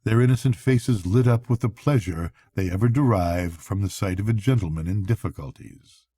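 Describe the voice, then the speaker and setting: An older man narrating a bedtime story aloud as a storyteller, with measured, dramatic phrasing. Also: fairly low pitch, warm tone, slightly rough. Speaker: storyteller, grandfather reading aloud.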